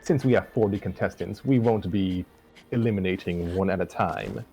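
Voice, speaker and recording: A man's voice: low-pitched, moderate pace, creaky, dark tone, slight background noise. A man voicing a smug male cartoon trickster creature who announces contest rules, with some stumbles. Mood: explaining. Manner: expository, announcing, stumbling over words.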